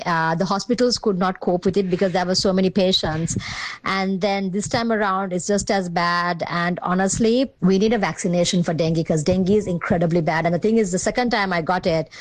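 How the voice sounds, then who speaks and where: A woman's voice: on the low side, dry recording, low-quality recording. A woman from Sri Lanka giving a casual, emphatic personal account in a voice message. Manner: emphatic, repeats words for stress.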